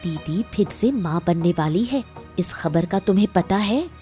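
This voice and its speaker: A woman voicing an emotional, calculating village wife in a Hindi cartoon moral story, with earnest, deliberate dramatic delivery. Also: lowish voice, animated, warm tone, soft background music. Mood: scheming.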